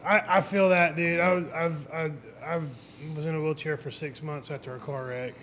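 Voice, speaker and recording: A man hosting a podcast, chatting casually and asking relaxed follow-up questions. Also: fairly high pitch, normal speed, big-room sound. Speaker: podcast host. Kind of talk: casual interview chat.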